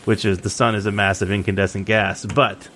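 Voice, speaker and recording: A man's voice: fairly low pitch, little pitch movement, brisk, bright, forceful tone, a little hiss or hum. A man from Central Maine with a New England accent, co-hosting a podcast in a rambling, laughing way. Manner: rambling, laughs often, many restarts. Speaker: podcast co-host. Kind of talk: casual chat.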